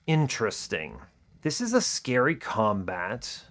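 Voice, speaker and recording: A man's voice: mid-range voice, huge pitch swings, takes it slowly, a bit bright. A man giving casual video game commentary, thinking aloud and questioning himself as he talks through each decision. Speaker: gaming video host.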